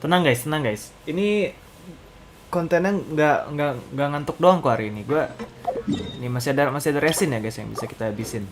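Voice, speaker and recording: A man's voice: a little high, fast, slight background noise. A man from Indonesia giving casual, slangy commentary as a game streamer, often repeating himself.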